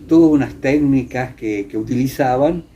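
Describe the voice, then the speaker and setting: An older man with an Argentine accent from Entre Ríos, reminiscing in a conversational interview, haltingly searching for words and repeating himself. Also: mid-range voice, mellow, dull audio. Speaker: interviewee, former radio theatre actor.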